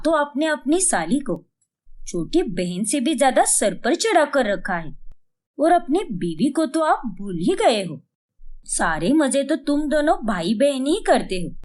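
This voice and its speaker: A sulky, jealous older wife in a domestic story, put on by a woman. She complains huffily in a high, very expressive voice. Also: unhurried. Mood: jealous sulking. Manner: complaining, huffy. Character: jealous, sulking older wife and mother-in-law.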